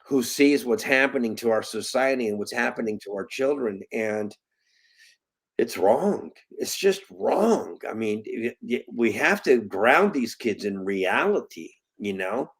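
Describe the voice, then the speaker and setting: A man in his late fifties, a guest in an interview, talking earnestly in halting bursts with many restarts. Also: medium pitch, expressive, breathy edge. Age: 50s. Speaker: guest, parent. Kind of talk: conversational interview.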